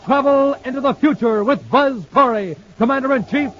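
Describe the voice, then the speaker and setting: A male radio announcer reading ads and promos in a booming, salesy, emphatic 1950s style. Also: animated, moderate pace. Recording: muffled old radio transcription with faint hiss.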